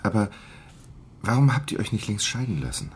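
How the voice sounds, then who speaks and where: An actor playing an awkward, gently probing man in a radio drama, with a hesitant, tentative manner. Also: lowish voice, very animated, a little fast, a little hiss or hum. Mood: tentative, curious. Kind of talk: naturalistic radio drama dialogue.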